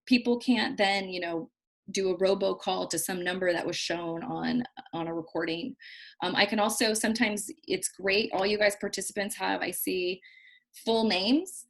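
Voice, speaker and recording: A woman with a loud voice, giving a casual video-call lesson as host, chatty and full of restarts and fillers. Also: mid-range voice, steady tone, moderate pace, vocal fry. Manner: chatty, repeats herself, many fillers and restarts. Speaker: trainer hosting a video call. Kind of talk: casual instructional talk. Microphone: built-in computer mic on a video call.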